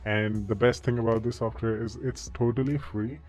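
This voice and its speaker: A man giving a casual software tutorial, often tagging his sentences with okay. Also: medium pitch, steady tone, moderate pace. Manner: repeats okay as a tag. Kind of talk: casual screen-recorded tutorial. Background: background music.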